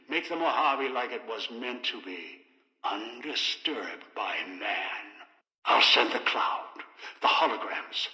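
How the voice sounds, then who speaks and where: An older actor voicing a ruthless, obsessive, scheming old man in a video game, deliberate and imperious, with dramatic pauses and an echoing radio-style sound. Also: mid-range voice, pushed and bright, full character voice. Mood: vengeful, brooding.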